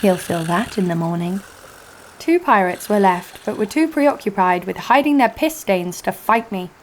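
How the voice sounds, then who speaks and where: A woman's voice: medium pitch, animated, moderate pace, background noise, natural voice. A woman playing a cocky, foul-mouthed adventurer, narrating and speaking in an audio drama with a sardonic manner. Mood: wry narration. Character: brash, sarcastic, foul-mouthed female adventurer narrating her exploits. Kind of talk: first-person audio drama narration and dialogue.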